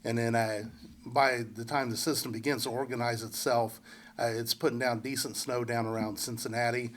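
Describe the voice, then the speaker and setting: A man with a congested head cold giving a relaxed, unscripted local weather forecast, full of fillers and restarts. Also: medium pitch, moderate pace, a little hiss or hum. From central Indiana. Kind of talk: casual forecast walkthrough.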